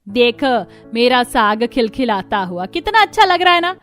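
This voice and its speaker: A woman's voice: a little high, big pitch swings, normal speed, fairly bright tone, faint music behind. A woman voicing a cheerful, bossy middle-aged mother-in-law in a cartoon story, exclaiming and insistent. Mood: pleased, reassuring.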